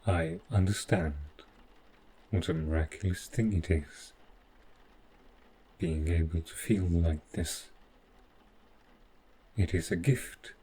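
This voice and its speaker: A man playing a devoted, possessive robot butler in an audio roleplay, speaking in a formal, measured, precise way with halting phrases. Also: sits low, mellow, slightly rough, exaggerated voice. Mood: earnest, devoted. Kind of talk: scripted roleplay audio drama monologue.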